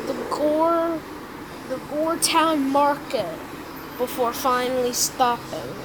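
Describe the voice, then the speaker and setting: Stumbling emergency alert announcer, voiced by a woman, high voice, slow halting delivery, noisy game sound underneath. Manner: halting improvised announcement, frequent restarts and self-corrections. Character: improvised emergency alert announcer, stiff and official.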